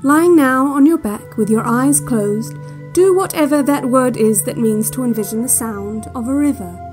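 A woman acts a female relaxation cassette guide for an audio drama. Her voice is fairly high and soft-edged, measured and soothing, yet quietly ominous and in control, over faint new-age music. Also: lightly acted. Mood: soothing, hypnotic.

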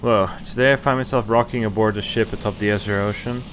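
A man's voice: on the low side, steady tone, quick pace, a bit bright, soft background music. Young man reading a game aloud. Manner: reads narration plainly, breaks off into wry, exasperated asides. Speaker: streamer reading a visual novel aloud. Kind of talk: casual reading with commentary.

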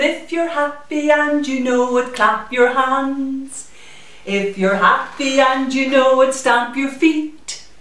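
High-voiced woman in a very echoey room. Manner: animated, sing-song, addressing small children. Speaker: children's story-time host. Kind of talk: children's story time with puppet chat and songs. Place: indoors, small room in a community library. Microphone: camera a few metres away. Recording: very echoey room, distant microphone.

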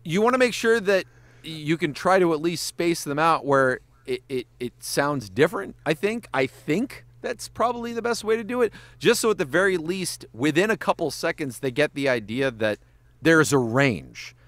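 A man's voice: fairly high pitch, expressive, moderate pace, a bit bright, no room sound. A man, a voice actor and animator, giving casual podcast advice, swearing freely and breaking into voice demonstrations.

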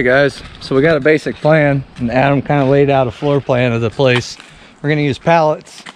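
A man's voice: fairly low pitch, a little hiss or hum. A man in his thirties casually narrating a vlog outdoors in a forest, talking in a laid-back, folksy, rambling way into a handheld camera. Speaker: vlogger. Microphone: handheld action camera.

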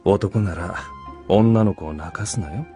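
A man playing a roguish, self-important older beast hunter and mentor in a Japanese radio drama, teasing and rough in his speech. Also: low-pitched, measured pace, dark tone, faint music behind. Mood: stern, wry.

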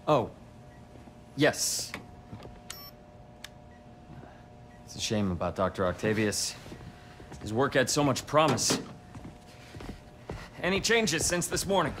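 Actor as a slow-spoken, grave doctor. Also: male voice, medium pitch, expressive, noisy background, close to an everyday voice. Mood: regretful. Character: grave, formal male doctor, worried and courteous. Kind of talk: game cutscene acting.

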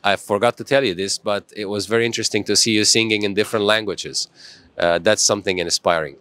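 A man's voice: lowish voice, steady tone, quick pace, sharp tone, dry recording. Upbeat motivational man hosting a stream. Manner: upbeat, motivational, thanks commenters. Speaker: host. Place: small indoor studio.

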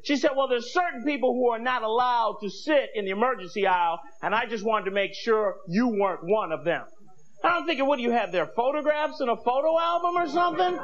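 High-pitched American man doing stand-up. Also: fairly quick. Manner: animated, exclaiming, acting out bits. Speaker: stand-up comedian. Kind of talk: stand-up comedy routine to an audience. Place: comedy club stage with audience. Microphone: handheld microphone. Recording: muffled VHS TV recording, noisy audience. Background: audience noise and laughter.

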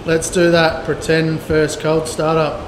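A man's voice: a little high, monotone, measured pace. A middle-aged man vlogging casually in a laid-back, slangy way, talking to a handheld camera. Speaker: vlogger, DIY builder. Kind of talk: casual handheld vlog talk. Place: large indoor pole barn workshop. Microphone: camera mic at arm's length. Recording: echoey barn with clear background noise.

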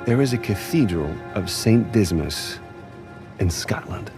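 An actor playing a talkative, persuasive American rogue in a cinematic game, animated and cajoling. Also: male voice, fairly low pitch, unhurried, over music. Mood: eager explaining.

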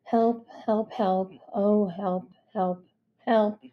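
A woman voicing a small grey cat from a children's storybook, bored and sarcastic, speaking in a flat, listless, deadpan way. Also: medium pitch, very slow, dull audio.

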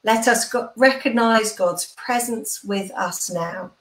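A woman's voice: medium pitch, takes it slowly. A middle-aged woman, a church minister, leading online worship and prayer informally from a room at home. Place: small room at home, indoors. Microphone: computer mic on a video call. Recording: roomy home video-call sound.

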